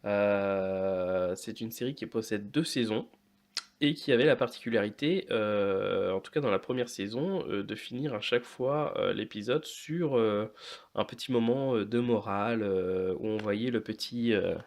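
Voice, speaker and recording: A man in his late thirties presenting informally to viewers as a video host, often hesitating with drawn-out fillers. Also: on the low side. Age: late 30s. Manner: hesitant, frequent long filler pauses. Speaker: YouTube host of a toy and model kit channel.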